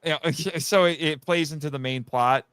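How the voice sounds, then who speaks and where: A man chatting casually as a guest on a live podcast, telling stories in a rambling, animated way and acting out quoted remarks. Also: on the high side, moderate pace, clean tone, dry recording, studio quality. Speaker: guest, audio drama writer.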